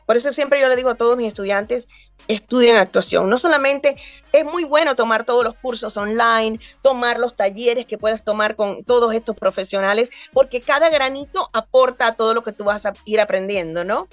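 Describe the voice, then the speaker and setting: A Cuban-born woman in her fifties, a voice actor, telling stories in an animated interview over a video call. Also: on the high side, a little fast, no room sound, muffled recording, soft background music. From Cuba. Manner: animated, gestures a lot while talking. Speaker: voice actor guest. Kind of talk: remote interview, storytelling.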